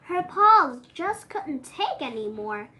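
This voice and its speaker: A high, young-sounding storyteller reads a picture book with lots of expression. The delivery is playful and unhurried, with swooping intonation and chatty asides. There is faint noise in the home recording. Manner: expressive, playful, with gasps and exclamations. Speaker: co-host reading a picture book aloud. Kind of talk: animated read-aloud storytelling with chatty asides.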